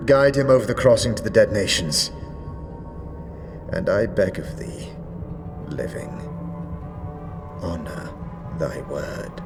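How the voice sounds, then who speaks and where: A man plays a weary undead lord who speaks in measured, archaic phrases. His voice is lowish and slow, with music playing clearly behind it. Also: big pitch swings, exaggerated voice. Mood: grave. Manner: archaic and formal, with thee and thou.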